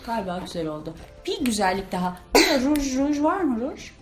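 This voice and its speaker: Animated woman chatting in an echoey room. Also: a little high, a little fast, over music. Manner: playful, exclaiming. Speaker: video host. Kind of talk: playful casual chat during a makeup challenge.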